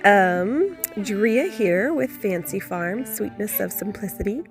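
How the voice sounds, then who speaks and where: A woman talks through her day at home as she cooks, her voice a little off from a cold she is getting over. She rambles in a friendly, easygoing way, full of ums and restarts, at an ordinary middle pitch, with soft music playing faintly underneath. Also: expressive, takes it slowly. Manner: chatty and rambling, with many fillers and restarts. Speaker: homestead vlogger. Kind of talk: casual cooking vlog narration. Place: home kitchen.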